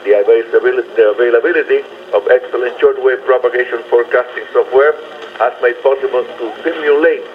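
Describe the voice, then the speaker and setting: A man's voice: mid-range voice, steady tone, fast, phone-quality sound. A man hosting a radio program, presenting to listeners, heard through noisy shortwave reception. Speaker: radio host. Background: radio static and noise.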